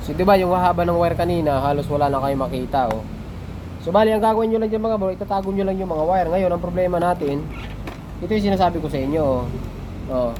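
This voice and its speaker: Filipino male tutorial host, slightly high voice, informal and buddy-like, recorded amid clear ambient noise. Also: fast. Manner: informal, addresses viewers as bro. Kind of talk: casual hands-on tutorial chat. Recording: noisy vehicle and street ambience.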